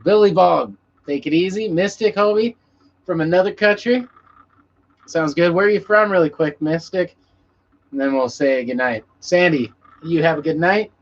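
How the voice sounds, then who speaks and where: A man's voice: a little high, moderate pace. A man hosting a casual live stream, chatting with viewers in a laid-back, rambling way and swearing freely. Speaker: musician. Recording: muffled lo-fi stream audio with background noise.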